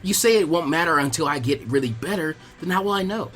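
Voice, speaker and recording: Man acting a brash young boy ninja, high-pitched, whiny, defiant, stubborn, story reading, music underneath. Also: animated, quick pace, fairly bright tone. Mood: puzzled, eager. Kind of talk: animated audiobook character acting.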